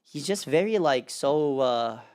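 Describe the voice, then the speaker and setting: A man's voice: mid-range voice, animated, measured pace, a little mellow. A man hosting a casual group discussion, encouraging and slangy in how he talks. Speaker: podcast host.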